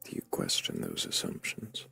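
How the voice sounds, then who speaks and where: A man hosting a livestream debate, speaking slowly in a dry, sardonic manner, often dropping to a near whisper. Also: sharp tone. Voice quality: very low gravelly vocal fry. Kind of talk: livestream chat.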